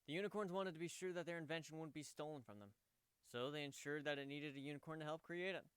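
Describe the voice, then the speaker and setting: A man voicing a gentle, wry male pegasus pony who speaks matter-of-factly in a story reading. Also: a little high, fast, smooth, no room sound, voice pushed up, close to an everyday voice. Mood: explaining.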